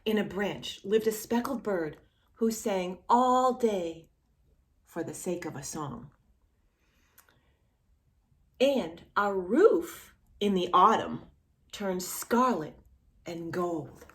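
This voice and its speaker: A woman presents storytime for young children. Her medium-pitched voice moves through wide, expressive rises and falls. She takes her time, speaking slowly and clearly to the young listener as a read-aloud host would. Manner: animated, addresses the young listener with questions.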